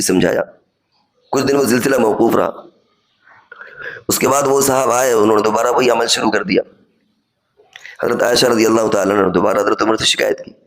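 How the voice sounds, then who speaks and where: Male cleric, medium pitch, instructive Friday sermon delivery, reasoned and explanatory. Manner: didactic, reasoning step by step with examples and rhetorical questions. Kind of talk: sermon, explanatory religious lecture.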